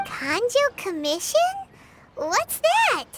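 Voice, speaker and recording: Chirpy little game fairy girl, very high childlike pitch, excitable, loud music behind. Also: takes it slowly, heavily acted. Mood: puzzled. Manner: exclamatory, inquisitive, refers to herself in third person. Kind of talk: video game voice acting.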